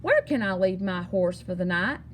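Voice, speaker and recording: A woman's voice: mid-range voice, big pitch swings, normal speed, slight background noise, natural voice. A woman voicing a friendly, easygoing cowboy with a folksy manner in a children's storybook. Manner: folksy, approving. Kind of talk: storybook character dialogue.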